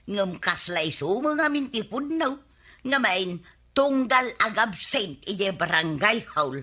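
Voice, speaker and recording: A woman's voice: mid-range voice, expressive, slow, pushed and bright, exaggerated voice. A woman playing a nosy, scheming village gossip in an Ilocano radio comedy drama, with an Ilocano accent and a teasing, needling delivery. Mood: sly gossiping.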